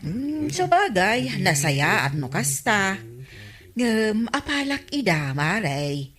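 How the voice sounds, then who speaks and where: A woman's voice: mid-range voice, big pitch swings, slow, fairly bright tone. A woman with a Filipino accent playing an envious, teasing married village woman in a radio comedy drama.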